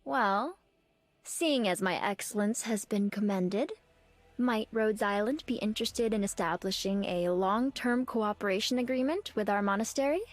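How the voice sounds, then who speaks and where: A woman voicing an earnest, dutiful young nun archer in a video game, speaking politely and formally in measured phrases. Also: mid-range voice, lightly acted. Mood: calm, formal. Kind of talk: scripted game character voice lines. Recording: clean dry studio, music behind.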